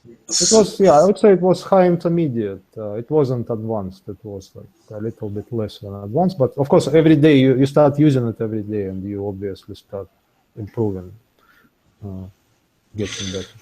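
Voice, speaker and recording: A man speaking English as an in a casual interview, with halting, repetitive phrasing. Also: on the low side, mellow, rough recording. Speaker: interview guest, English learner.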